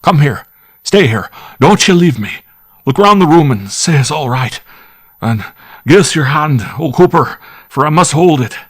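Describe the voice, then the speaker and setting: A man voicing a middle-aged country squire who speaks in loud, pleading rustic dialect. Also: mid-range voice, normal speed, vocal fry, voice pushed up, lightly acted. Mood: panicked.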